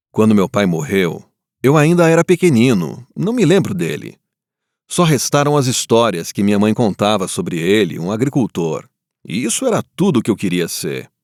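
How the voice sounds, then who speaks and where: A man acting as a grieving war survivor tells his story in dramatic first-person narration. His mid-range voice moves expressively, with solemn, reflective pauses, in a dry, close recording. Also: medium pitch, darkish tone, no echo. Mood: wistful, reflective. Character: grieving Japanese survivor of the Nagasaki bomb, looking back.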